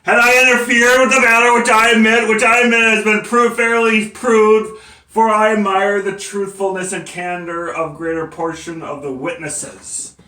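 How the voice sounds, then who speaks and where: Man acting a slow, forceful condemned abolitionist captain. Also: a little high. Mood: indignant, forceful. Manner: halting, emphatic oratory with stumbles. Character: defiant, devout condemned abolitionist captain addressing the court. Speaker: poet and playwright performing a monologue. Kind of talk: dramatic courtroom speech, theatrical monologue. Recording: echoey room, distant microphone.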